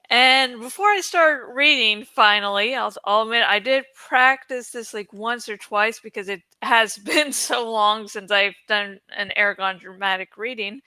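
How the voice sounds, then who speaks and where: A woman's voice: fairly high pitch, pushed and bright, clean tone, no room sound. A woman hosting a book reading channel, talking in a casual, rambling chat style.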